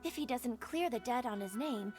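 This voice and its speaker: A woman's voice: a little high, lively intonation, moderate pace, music in the background, natural voice. An actress voicing a polite, composed woman merchant in a video game, explaining things in formal wording. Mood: polite, knowing. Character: polite, composed woman merchant who shares information knowingly.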